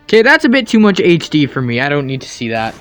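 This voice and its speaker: A slightly high-voiced man delivers sarcastic running commentary on video game cutscenes. He sounds incredulous and picky, with pitch that moves around a lot. Faint music plays behind him. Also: sing-song, fast, a bit bright.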